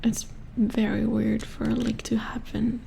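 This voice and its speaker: A woman playing a calm, methodical robot repair engineer in a soft-spoken ASMR roleplay, murmuring with concentration close to the microphone. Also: fairly high pitch, measured pace, gravelly, slight background noise. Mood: calm, focused.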